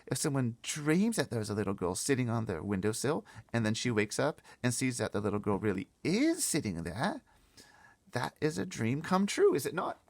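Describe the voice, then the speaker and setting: A man's voice: mid-range voice, very animated, moderate pace, vocal fry, pitched above the performer's own voice. A man voicing a bossy, eager little girl in a storybook read-aloud, explaining things emphatically and confidently.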